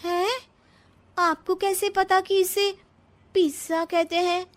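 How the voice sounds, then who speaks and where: Woman acting a whiny young city bride, high-pitched. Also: flat delivery, slow. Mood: surprised. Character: young city bride Nidhi, reluctant and scheming. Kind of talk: Hindi cartoon moral story acting.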